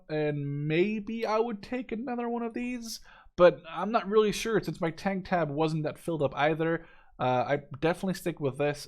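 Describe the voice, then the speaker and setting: A man in his twenties giving casual, unscripted game commentary, informal and thinking aloud as he goes. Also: fairly high pitch. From Canada-based, Dutch. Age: 20s. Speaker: gaming YouTuber reviewing a strategy game.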